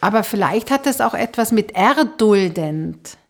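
A woman's voice: mid-range voice, animated, a little slow. Reflective woman hosting a conversation. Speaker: podcast host. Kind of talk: conversational interview, thinking aloud.

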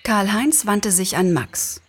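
Husky woman reading a story. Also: medium pitch, expressive, slow pace. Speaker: narrator. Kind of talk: expressive scripted story reading.

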